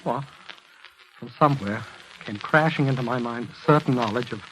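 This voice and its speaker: Guy playing anguished cursed man, intense halting delivery, 1940s radio drama, a bit of old background noise. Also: medium pitch, sharp tone, lightly acted, low-quality recording. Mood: haunted, brooding. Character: haunted, anguished man cursed to speak the truth. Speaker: patient.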